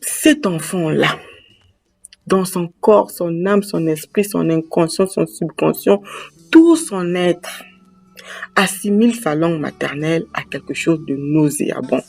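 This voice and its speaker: A man's voice: fairly high pitch, measured pace, vocal fry, darkish tone, dry recording, soft background music. A young man, speaking emphatically and repeating phrases for weight in a passionate talk. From Republic of the Congo. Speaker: host. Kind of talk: impassioned monologue on language and culture.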